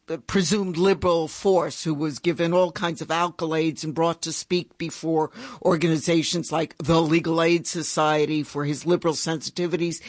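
Higher-voiced male host asking long questions. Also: no room sound, studio quality. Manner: long winding questions with fillers. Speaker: interview host. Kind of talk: political interview.

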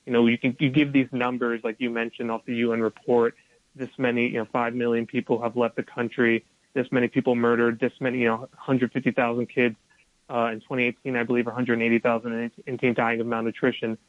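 A man's voice: medium pitch, level tone, fast talker, no room sound, phone-quality sound. A man, a documentary filmmaker of Colombian family, explaining his work casually with loose restarts. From United States, Colombian family. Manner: loose, many fillers and restarts.

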